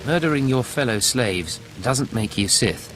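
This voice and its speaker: A man's voice: mid-range voice, lively intonation, a little slow, close to an everyday voice, rough recording. A man playing a stern, controlled imperial intelligence agent in a video game. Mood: stern disapproval. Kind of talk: video game dialogue acting. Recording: music behind the voice.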